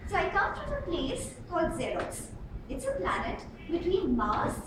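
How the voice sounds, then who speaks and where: A woman giving a rehearsed storytelling speech at a club contest, with an emphatic, theatrical delivery and dramatic pauses. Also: very high-pitched, huge pitch swings. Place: indoor club meeting room. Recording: roomy, distant mic with clear background noise.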